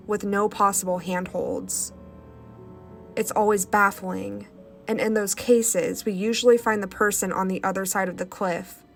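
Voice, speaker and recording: A woman's voice: medium pitch, gravelly. Stuffy-nosed woman reading eerie stories. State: stuffy nose from sinus infection. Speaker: host. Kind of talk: reading first-person stories aloud.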